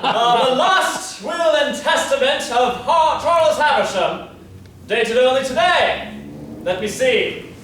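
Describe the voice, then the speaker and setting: A male actor plays a period police inspector, probing and easily exasperated, with theatrical pauses. He speaks slowly in a very high voice. It is a stage reading, heard from far off in an echoey room. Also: animated, fairly bright tone, full character voice. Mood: puzzled, exasperated. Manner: theatrical, deliberate, old-fashioned phrasing with dramatic pauses. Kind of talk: staged murder-mystery comedy stage acting. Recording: echoey room, distant mic, faint noise.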